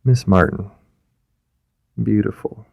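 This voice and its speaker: An actor playing a fussy, socially awkward male school administrator in an audio drama, with a halting, flustered delivery. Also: lowish voice, fairly even intonation, creaky, darkish tone, no echo, heavily acted. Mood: smitten. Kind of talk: scripted audio comedy-drama acting.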